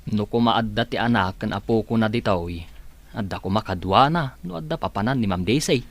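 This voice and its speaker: A man's voice: fairly low pitch, fairly quick. A man playing a deferential, kindly and scheming household servant in an Ilocano radio comedy-drama. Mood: matter-of-fact.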